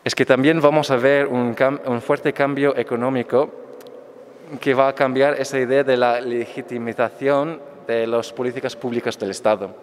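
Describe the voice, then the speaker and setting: A man's voice: medium pitch, fairly even intonation, normal speed, clean tone. A man answering audience questions after his lecture, hesitant, with many fillers and restarts. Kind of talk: academic talk. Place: lecture hall with an altar. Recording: echoey hall.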